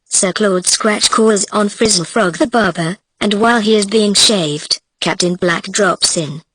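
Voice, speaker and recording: A woman reads brief summaries of each scene in a storybook, keeping her delivery even and matter-of-fact. Her voice sits at a middle pitch, and the recording is dry and close. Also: measured pace, slightly rough, dry recording, poor audio. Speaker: caption reader.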